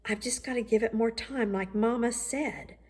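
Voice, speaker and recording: Earnest young boy for a storybook, performed by a woman, expressive, pitched up from her own voice. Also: normal speed, breathy edge. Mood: hopeful. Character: young boy, earnest, dejected, then excited and determined. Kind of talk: children's storybook read-aloud.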